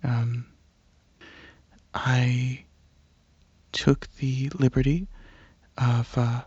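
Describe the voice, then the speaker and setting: Soft, husky, hesitant alien dragon played by a man. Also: medium pitch, little pitch movement, no echo, highs cut off. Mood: apologetic, considerate. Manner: hesitant, halting, softly earnest. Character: shy, gentle alien dragon host, curious about Earth. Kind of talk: ASMR roleplay, soft rambling monologue.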